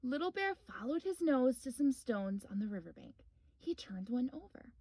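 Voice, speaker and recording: A woman's voice: sits high, sing-song, moderate pace, darkish tone, roomy sound, distant mic. A woman hosting story time, speaking simply and expressively to young children as a read-aloud reader. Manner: simple questions and encouragement addressed to small children.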